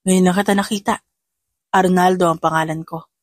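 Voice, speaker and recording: A woman voicing a calm, pensive older male CEO in an audio drama, gentle and courteous. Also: on the low side, a little fast, dry recording, clean studio sound, natural voice.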